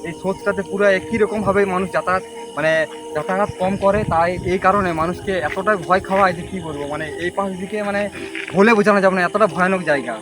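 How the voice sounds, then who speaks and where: Male night-vlog host, slightly high voice, uneasy and repetitive, loud music and insects behind. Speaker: vlogger presenting to viewers. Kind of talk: outdoor ghost-hunting vlog talk, addressing friends and camera. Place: outdoors at night on a village path. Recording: loud music over outdoor night recording. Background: loud music, chirping night insects.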